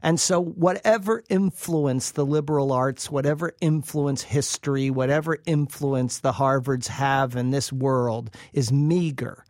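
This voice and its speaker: A man's voice: mid-range voice, unhurried, hardly any pauses, darkish tone, dry recording, clean studio sound. A man speaking as an expert interview guest, explaining in an emphatic way and repeating phrases for weight. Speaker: interview guest, researcher presenting a study. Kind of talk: interview commentary.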